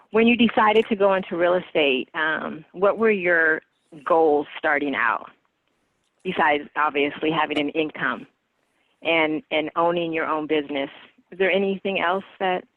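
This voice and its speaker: Man, interviewer, curious, hesitant, slightly high voice, thin phone-like call audio. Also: no echo. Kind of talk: interview questions.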